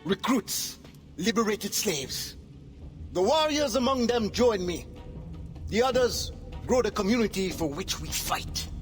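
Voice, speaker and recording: An earnest rebel leader, fairly high, lively intonation, measured, music under the game cutscene. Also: male voice. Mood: resolute. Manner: earnest, weighs his words, uses French Creole proverbs. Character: earnest maroon leader of freed slaves. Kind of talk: video game cutscene acting.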